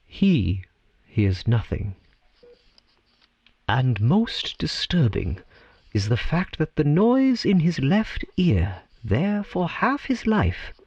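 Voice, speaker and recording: Radio drama narrator: theatrical storyteller, deliberate phrasing, wide swooping intonation, slightly muffled over soft music. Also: male voice, mid-range voice, husky, no echo. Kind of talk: scripted dramatic narration, old-time radio.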